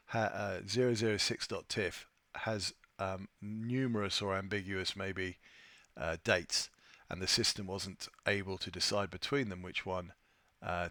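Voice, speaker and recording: A man presenting an unscripted software tutorial, thinking aloud with a hesitant delivery as he walks through his screen. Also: low voice, no echo. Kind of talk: unscripted screen-share walkthrough.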